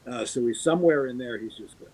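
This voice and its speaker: A man's voice: mid-range voice, fast talker, a little mellow, breathy edge, low-quality recording. A man in his fifties from the US East Coast, a guest actor fondly reminiscing about old radio drama in casual chat. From US East Coast, Baltimore. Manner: reminiscing, thoughtful.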